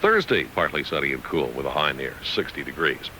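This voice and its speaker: A man's voice: very deep voice, big pitch swings, brisk, bright, forceful tone, slight background noise, rough recording. An American man anchoring radio news, reading scripted headlines and weather.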